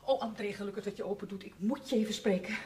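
A woman's voice: medium pitch, quick pace, warm tone, a little hiss or hum, lightly acted. An actress playing a distraught, apologetic woman in a radio play, stammering and effusive in her pleading. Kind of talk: Dutch radio drama acting.

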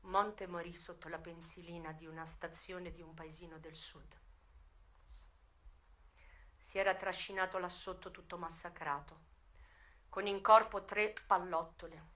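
An actress performing a dramatic radio monologue as a worldly, passionate American madam recalling her youth, expressive and full of pauses. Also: lowish voice. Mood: subdued, sorrowful.

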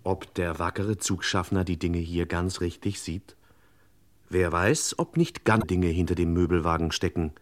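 An actor playing a wry, pompous, mock-solemn narrator of a comic crime radio play. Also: male voice, sits low, unhurried.

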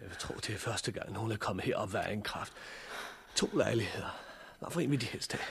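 A practical survivor guy in old 60s radio play, bit husky, dry and gallant, matter of fact. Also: lowish voice, lively intonation, full character voice. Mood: brisk, dry. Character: practical, hardened male survivor of a catastrophe, dryly gallant. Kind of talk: 1960s radio drama acting.

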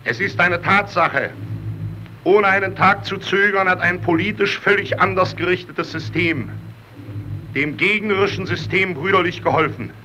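An actor playing an earnest, solemn narrator who proclaims a heroic tale in emphatic old German radio-play style. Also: male voice, sits high, normal speed, lightly acted. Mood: solemn, fervent. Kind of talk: 1920s-30s German radio play, declamatory. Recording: muffled, noisy old radio recording.

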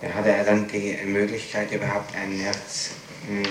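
A man's voice: on the low side, completely flat delivery, moderate pace. A man putting formal, insistent questions as a prosecutor in an interrogation, in a matter-of-fact way. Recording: echoey, noisy archival 1960s interrogation tape.

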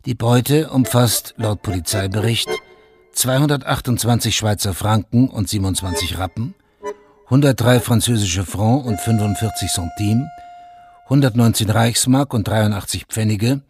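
A man with a slightly low voice narrates a radio drama from a script. He is sober and precise, and music plays clearly behind him. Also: moderate pace.

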